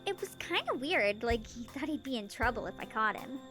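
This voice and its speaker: A young woman playing a spirited, teasing little sister in a video game, casual, sassy and expressive. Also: fairly high pitch, brisk, breathy edge, soft background music, heavily acted. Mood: matter-of-fact.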